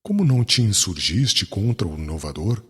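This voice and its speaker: Guy playing bitter young law zealot, low voice that swings a lot, big echoey hall. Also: very animated, normal speed, breathy edge, heavily acted. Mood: bitter, indignant. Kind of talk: dramatized audiobook dialogue.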